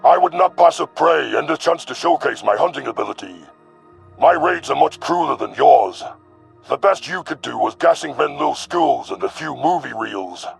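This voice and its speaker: A man voicing a cruel, arrogant young alien predator commander in an audio story, with a growling, gravelly voice and haughty, formal menace. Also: a little high, expressive, moderate pace, faint music behind, voice pushed up. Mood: sneering contempt.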